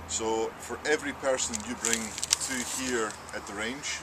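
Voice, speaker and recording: A man with a Scottish accent co-hosting a casual unboxing chat outdoors at an archery range, talking in a relaxed, wry way. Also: mid-range voice, unhurried, heavy background noise. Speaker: archery shop co-host.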